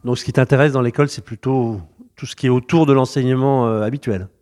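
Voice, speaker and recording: A man of ordinary middle pitch interviews students at a school. He talks in a loose, unscripted way, stopping and starting and filling gaps with hesitations. His questions come out relaxed and slightly rambling. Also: fast talker. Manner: loose and hesitant, with frequent fillers and restarts. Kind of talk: informal interview.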